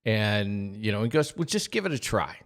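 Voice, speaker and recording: A man's voice: fairly low pitch, moderate pace. A middle-aged man co-hosting a podcast, telling a casual anecdote and acting out bits of dialogue as he goes. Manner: animated retelling with acted-out dialogue. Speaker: podcast co-host and storyteller.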